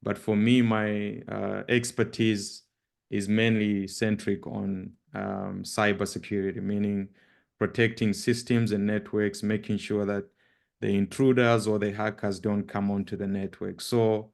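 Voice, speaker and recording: Male guest speaker, Zambian accent. Deep-ish, creaky voice, halting informal presentation style. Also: level tone, darkish tone. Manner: halting, with repeated words and frequent pauses. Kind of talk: informal presentation with personal introduction.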